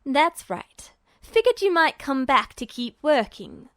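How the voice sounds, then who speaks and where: A woman's voice: sits high, big pitch swings, slow pace, a bit bright, dry recording, studio quality, full character voice. A woman voicing a smug, bullying male military guard who gives curt commands, in a story reading. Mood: smug, commanding. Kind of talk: audiobook-style character voice.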